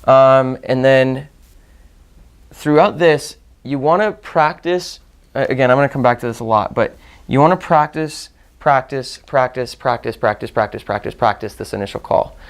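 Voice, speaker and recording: A man's voice: medium pitch, moderate pace, clear, resonant tone. A man giving instructional talk as a sales trainer, repeating and rephrasing points for emphasis and demonstrating phone-call lines.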